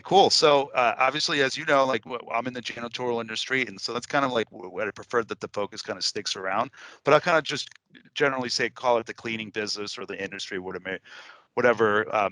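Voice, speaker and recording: Bright-voiced man hosting an interview. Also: mid-range voice, dry recording, poor audio. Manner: loose and chatty, with many fillers. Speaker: interview host, cleaning business owner. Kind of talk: casual interview.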